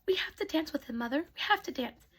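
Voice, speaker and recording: A woman voicing a mean young stepsister in a storybook tale, speaking high and mocking. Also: lively intonation, quick pace, a bit bright. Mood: snide.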